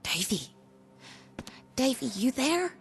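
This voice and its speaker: An actress playing a poor, devoted big sister in a video game, folksy, tender and pleading. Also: female voice, sits high, sing-song, takes it slowly, vocal fry, faint music behind, exaggerated voice. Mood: pleading, relieved.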